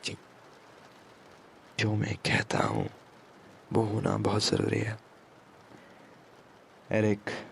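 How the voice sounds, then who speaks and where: Guy doing a mafia boss for asmr roleplay, slow deliberate talk, commanding but tender, bit of noise behind. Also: flat delivery, exaggerated voice. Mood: stern, commanding. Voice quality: low, gravelly, breathy at times. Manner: slow, hushed, deliberate, mixing English words into speech. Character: commanding yet protective male mafia boss, tender with his captive.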